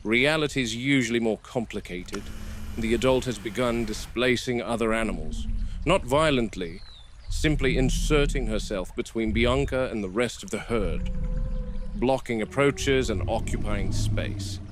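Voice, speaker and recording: A man playing a stern, cautious game warden, speaking in a measured, matter-of-fact way as in a scripted found-footage field log. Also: mid-range voice, noisy background, close to an everyday voice. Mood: measured, observant. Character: stern, cautious male game warden logging park animal observations.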